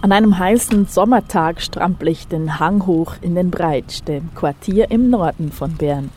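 A woman narrates a radio reportage in a calm, even, scripted read. Her voice sits low and mellow, with faint vehicle noise in the background. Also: measured pace.

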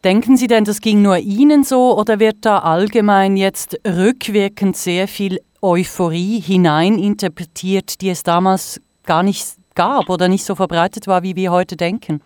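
A woman's voice: mid-range voice, fairly even intonation, moderate pace, few pauses, a little mellow, smooth, no room sound, studio quality. A woman interviewer putting prepared questions in formal, carefully phrased sentences.

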